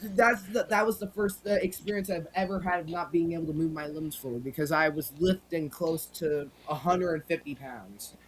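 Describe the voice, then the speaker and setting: Rambling young man, higher voice. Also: fairly even intonation, moderate pace, few pauses, slight background noise, low-quality recording. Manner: rambling, frequent restarts. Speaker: podcast co-host. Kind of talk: casual chat.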